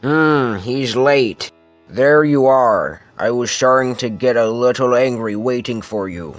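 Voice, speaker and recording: A man's voice: medium pitch, slow, dry recording, soft background music, natural voice. A man voicing a power-hungry, threatening villain boss with a commanding manner in an amateur cartoon dub. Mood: stern, impatient.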